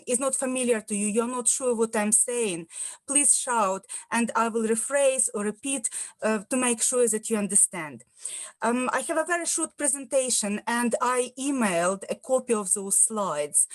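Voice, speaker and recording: An older woman with a Ukrainian accent presents over a video call. Her voice sits a little high, and she pauses often and chooses her words with care. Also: dry recording. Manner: careful, hesitant with many fillers. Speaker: guest speaker on grant funding. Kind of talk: online meeting presentation.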